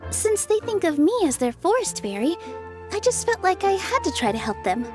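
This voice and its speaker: A woman playing a gentle, earnest young dancer turned forest fairy in a video game, speaking politely. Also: extremely high pitch, big pitch swings, moderate pace. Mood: earnest, explaining. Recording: music playing behind the voice.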